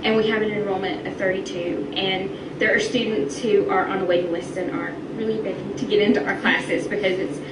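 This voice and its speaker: A woman's voice: mid-range voice, steady tone, fairly quick, hardly any pauses. Distant, echoey woman teacher explaining. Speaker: middle school teacher. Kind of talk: interview answers, explaining. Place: classroom, replayed on a projection screen. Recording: distant echoey re-recorded playback, noisy room.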